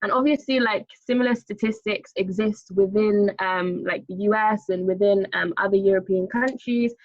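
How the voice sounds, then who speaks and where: Brief: young UK woman seminar co-host, steady and informative, dry and slightly muffled call audio. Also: medium pitch, normal speed, no echo. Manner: clear, steady, reads out statistics. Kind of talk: online seminar presentation. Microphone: video call.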